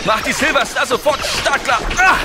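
An actor playing a cocky, defiant space commander in a retro sci-fi audio drama, urgent and punchy. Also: male voice, extremely high pitch, expressive, moderate pace. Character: bold, cocky male space commander hero. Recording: loud music and engine noise behind.

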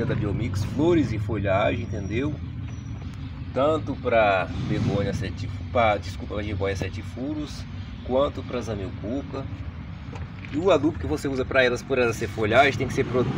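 A man hosting a casual gardening vlog, chatting and explaining plant care outdoors while he films on a phone. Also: mid-range voice. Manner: chatty, explaining, often asks "entendeu, gente?". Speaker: channel host. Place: outdoor paved yard with potted plants. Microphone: phone camera held close, filming plants. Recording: phone recording with loud street noise.

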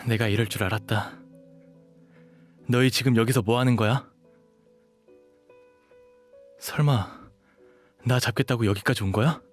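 A man's voice: medium pitch, moderate pace, faint music behind, natural voice. A young man playing an earnest, protective dog shifter in a romantic audio drama. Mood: hurt, defiant. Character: gentle, devoted young dog shifter, wounded but protective.

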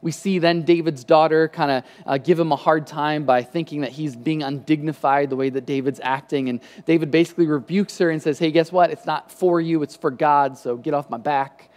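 Conversational pastor in a reverberant hall. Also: male voice, a little high, a little fast, hardly any pauses. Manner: conversational, animated hand gestures, frequent restarts. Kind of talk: casual sermon. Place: church stage with lit patterned backdrop. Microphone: headset mic.

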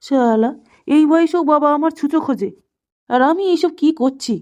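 A woman's voice: high-pitched, normal speed, music in the background, lightly acted. A woman voicing an indignant, hot-tempered village husband and father in a comedy cartoon, with faint noise. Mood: wailing frustration. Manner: blustering. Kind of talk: comic cartoon dialogue.

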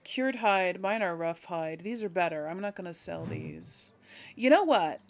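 A woman's voice: fairly low pitch, animated, measured pace, creaky. A woman giving casual game commentary, thinking aloud in a hesitant, musing way as she hosts. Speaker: gaming video host.

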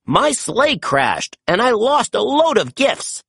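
Narrator putting on a kindly old christmas man, urgent and pleading, pitched up, lots of swoops. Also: very animated, slow pace, vocal fry, a bit bright, slightly rough, dry recording, studio quality, full character voice. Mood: distressed.

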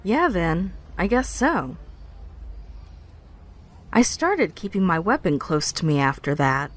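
A woman playing an apologetic, self-conscious young park ranger who speaks haltingly in a naturalistic podcast drama. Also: on the low side, animated. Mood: shaken, confiding. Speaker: actor in audio drama.

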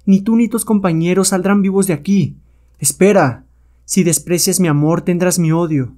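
A savage jungle queen, put on by a male narrator, speaks in a raised, mellow voice. She is commanding and threatening, every phrase an order from a comic-book villainess. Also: sits high, moderate pace, pitched above the performer's own voice, natural voice.

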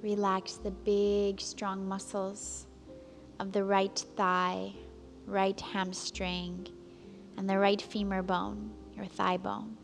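A woman instructor leading a guided relaxation, speaking calmly and gently, with long pauses between soothing instructions. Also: mid-range voice, vocal fry. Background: soft background music.

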